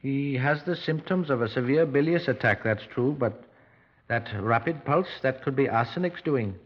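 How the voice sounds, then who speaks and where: A muffled vintage radio recording has a man playing a doctor with a medium-pitched voice. He is measured and cautious, firm with his patient, in the manner of 1950s radio acting. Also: lightly acted.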